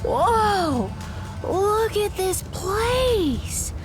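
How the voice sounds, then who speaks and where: An actress playing a wide-eyed, enthusiastic hero in a video game, expressive and full of awe. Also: female voice, extremely high pitch, very slow, over music, full character voice. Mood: amazed, playful. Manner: awed, expressive.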